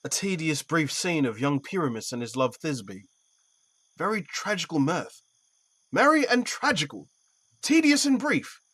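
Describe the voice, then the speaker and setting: A man plays a stately duke in a Shakespearean audio drama. His delivery is measured, sceptical and declamatory, in a slightly high voice. It is recorded very dry. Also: big pitch swings, a bit bright, husky, dry recording, heavily acted. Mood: dismissive, amused. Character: Theseus, stately sceptical male Athenian duke. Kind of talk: Shakespearean audio play acting.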